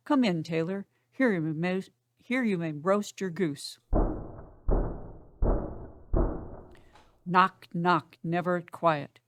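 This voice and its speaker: A woman's voice: lowish voice, no room sound, clean studio sound. A woman playing a rambling, hungover male porter in a dramatic Shakespeare reading, bawdy and sardonic in a theatrical comic manner. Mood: weary, sardonic. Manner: theatrical, muttering comic monologue.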